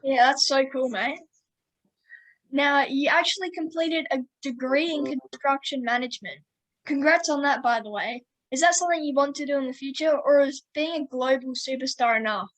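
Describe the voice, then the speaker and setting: High-voiced upbeat woman host. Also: moderate pace, poor audio. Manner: upbeat, friendly, calls guest mate. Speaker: podcast host. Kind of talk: interview questions.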